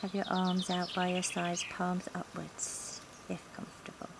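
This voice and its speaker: A woman guides a relaxation meditation in a calm, gentle voice. Her delivery is very slow and even, settling into a soft creak at times. She is recorded outside in a garden, with insects and nature sounds clearly audible around her. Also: on the low side, noisy background. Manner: calm, soothing, gentle instructional delivery with long pauses. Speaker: yoga nidra instructor. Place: outdoors in a garden. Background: insects, sounds of a sunny garden.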